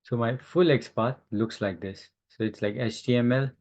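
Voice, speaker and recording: A man teaching a live online software lesson informally, haltingly thinking aloud as he demonstrates. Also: mid-range voice. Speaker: instructor. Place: online class call with screen sharing. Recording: roomy, dull lo-fi online-call audio.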